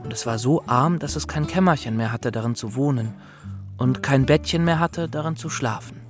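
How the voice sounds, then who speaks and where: A man's voice: mid-range voice, normal speed, faint music behind, close to an everyday voice. A man playing a timid, bookish young scholar in a children's radio drama, kindly, earnest and a little hesitant. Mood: storytelling. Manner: hesitant, earnest, slightly fussy.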